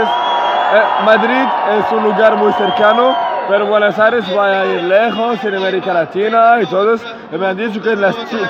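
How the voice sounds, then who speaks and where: A young man from Tunisia, not a native Spanish speaker, talking excitedly in halting Spanish with restarts during a street interview at a fan festival. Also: high-pitched, lo-fi sound, very noisy. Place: outdoor fan festival with crowd. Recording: loud crowd and music around.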